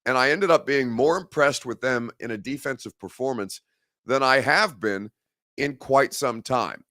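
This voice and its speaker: A man hosting a live-stream sports talk show, hoarse and gravelly from a head cold, rambling and conversational as he reads viewer comments. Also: medium pitch, a bit bright, dry recording, studio quality. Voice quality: gravelly, growly.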